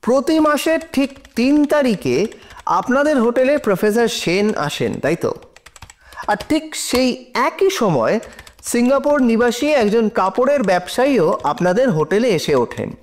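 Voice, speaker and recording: Shrewd courtroom lawyer, audio drama, deliberate probing questions, very lively up and down delivery. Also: male voice, extremely high pitch. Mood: probing, composed. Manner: deliberate, probing, pauses for effect. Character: shrewd male defense lawyer cross-examining in court, probing, composed.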